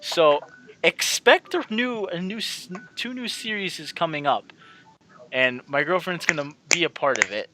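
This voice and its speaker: A man's voice: on the high side, animated, measured pace, noisy background. A man doing casual let's-play commentary as a channel host, rambling and repeating himself as he talks to his viewers.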